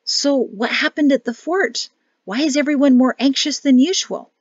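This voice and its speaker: A woman with a rough, crackling voice voicing a nervous, eager young man asking urgent questions. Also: sits high, moderate pace, no echo, lo-fi sound, pitched above the performer's own voice, close to an everyday voice.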